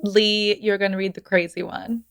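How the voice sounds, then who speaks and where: A woman's voice: medium pitch, little pitch movement, normal speed, creaky, fairly bright tone, smooth, no echo, clean studio sound. A woman co-hosting a podcast in casual, informal, giggly chat. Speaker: podcast co-host.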